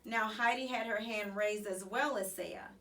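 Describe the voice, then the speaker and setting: A woman's voice: mid-range voice, moderate pace. Distant-miked woman reading a children's story. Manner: animated, dramatic emphasis. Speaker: storybook reader. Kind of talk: expressive children's audiobook read-aloud.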